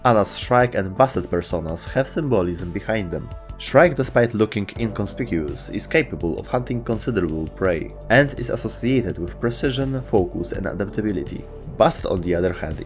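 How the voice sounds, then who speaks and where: A man's voice: fairly low pitch, lively intonation, fairly quick, hardly any pauses. A man reading scripted narration for a video game lore explainer. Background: music.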